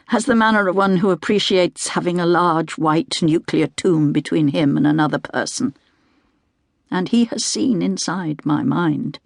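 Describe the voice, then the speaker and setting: Creaky-voiced woman narrating a literary audiobook. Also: low-pitched, dry recording, studio quality. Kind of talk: scripted literary first-person narration.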